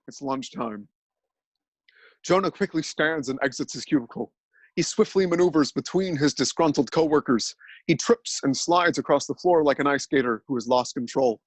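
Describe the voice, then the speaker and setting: A man narrates a scripted dramatic reading in an earnest, deadpan manner. His voice sits a little high for a man. The recording is close and dry, with no room echo. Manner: earnest, deadpan telling of absurd events.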